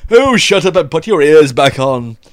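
A man's voice: on the high side, big pitch swings, normal speed. A man voicing a greedy, scheming mad doctor with a theatrical, smug manner. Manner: theatrical and sing-song, smug.

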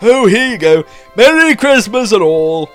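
Man as a smug mad doctor, high and swooping, unhurried, theatrical. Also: sing-song, fairly bright tone, soft background music, exaggerated voice. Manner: theatrical and sing-song, smug. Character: scheming, greedy mad doctor, male adult.

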